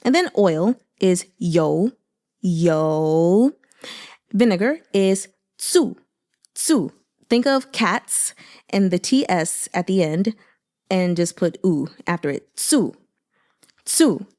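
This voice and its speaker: A woman's voice: on the low side, lively intonation, slow, long pauses, dark tone. A woman chatting informally and expressively about a book, in a casual commentary style full of asides.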